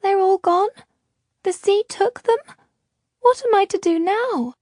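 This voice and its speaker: A woman doing a high, plaintive little girl. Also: slow, darkish tone, dry recording, studio quality. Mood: frightened. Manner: accusing, plaintive. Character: frightened accusing little girl.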